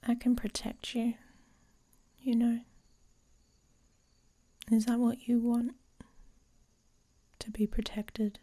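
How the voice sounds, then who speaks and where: A woman playing a dominant, teasing female werewolf in an intimate ASMR audio roleplay, with a breathy, whispery voice and a commanding manner. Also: a little high, flat delivery, takes it slowly, pauses often, dark tone. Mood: seductive, commanding.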